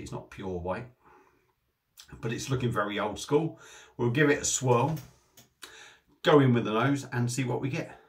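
A man, casually reviewing a beer to camera from a small home room on a desk webcam, chatty and prone to restarting his phrases. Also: medium pitch.

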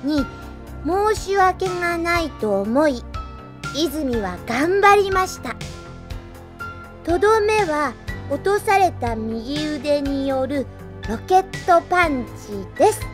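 An earnest young policewoman with a very high, girlish voice. She thinks aloud at an unhurried, slow pace as she writes up her report. It is an anime radio drama with music. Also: lively intonation. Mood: earnest, indignant. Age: young adult. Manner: thinking aloud while writing a diary. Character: young policewoman, earnest, girlish, scatterbrained rookie robot pilot.

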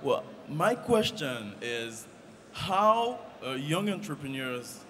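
A man's voice: a little high, very animated, takes it slowly, fairly bright tone. Young man, native French speaker, earnest, hesitant fillers, handheld mic, hall reverb, faint noise. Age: young adult. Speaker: audience member asking a question. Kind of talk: audience question at a summit. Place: large conference hall in the audience.

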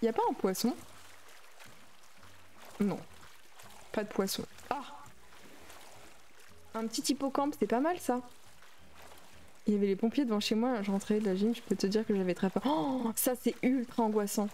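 A woman's voice: on the high side, normal speed, pauses often, mellow, a little hiss or hum. Chatty young woman streamer. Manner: chatty, reads and answers viewer chat aloud. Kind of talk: casual livestream chat while playing a game.

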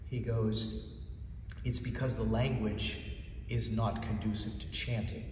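A man in his fifties, a church chanter whose first language is Greek, answering interview questions with animated stories and acted-out dialogue, recorded in a large church interior from a camera at a distance. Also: lowish voice, slow, background noise. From United States. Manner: animated storyteller, acts out dialogue in a raised voice. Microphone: far camera. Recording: echoey church from far off.

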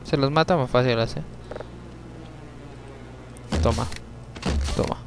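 A young man giving chatty gameplay commentary, talking to himself, swearing at the game and breaking into sudden yells. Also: mid-range voice, takes it slowly, gravelly, noisy background. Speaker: let's play gamer.

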